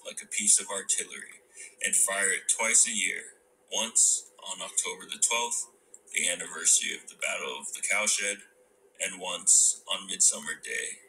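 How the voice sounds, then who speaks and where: A man's voice: sits low, bright, forceful tone, a little hiss or hum, low-quality recording. A man reading a novel aloud as scripted storybook narration.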